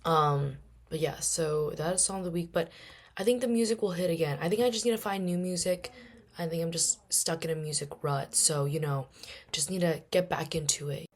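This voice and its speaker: A young woman in her twenties with a hoarse, worn-out voice, hosting a casual solo podcast and rambling along with lots of fillers. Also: low voice, a little fast. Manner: rambling, chatty, many fillers. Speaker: podcast host.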